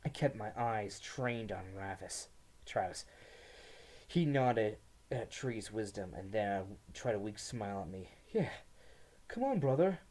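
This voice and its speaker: A young man giving a somber dramatic reading of first-person fiction, his phrases sometimes dropping to a hush. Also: lowish voice, unhurried. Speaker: student reader.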